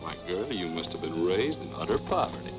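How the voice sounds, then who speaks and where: An actor playing a suave young husband in a 1940s film melodrama, wry, evasive and easily exasperated. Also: male voice, low-pitched, very animated, fairly quick. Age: young adult. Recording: muffled 1940s film soundtrack, music behind.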